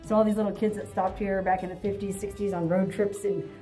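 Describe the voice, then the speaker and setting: A young woman vlogging as she explores a narrow cave, chatty and full of excited exclamations, recorded on a handheld camera. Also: mid-range voice, fairly quick, faint music behind. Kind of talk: casual vlog narration.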